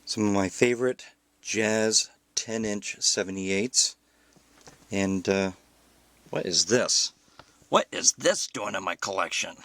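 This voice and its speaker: A man with a fairly low voice narrates a casual home tour. He presents as a collector showing things off, dropping wry, self-deprecating remarks as he goes. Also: slow, a bit bright, no room sound. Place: indoors at home.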